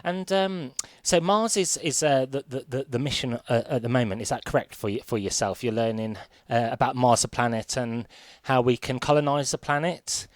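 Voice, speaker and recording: A British man interviewing on radio in a conversational way, halting and often repeating words as he forms questions. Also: mid-range voice, dry recording, clean studio sound. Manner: hesitant, stammering word repetitions.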